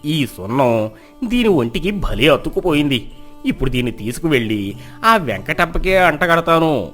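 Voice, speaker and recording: A man performs a cunning, lazy trickster from a village folk tale. He is boastful and persuasive, with a very animated, fairly high voice. Music plays behind. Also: normal speed. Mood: smug scheming.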